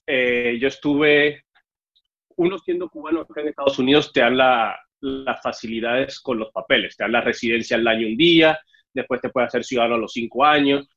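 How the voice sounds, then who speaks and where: A man's voice: medium pitch, lively intonation, fairly quick, creaky, a bit bright. A young Cuban-born man with a Mexican Spanish accent, telling his story as an interview guest and acting out the dialogue. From Cuba. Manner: storytelling, acts out dialogue with raised voice. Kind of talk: casual interview chat. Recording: dull muffled audio.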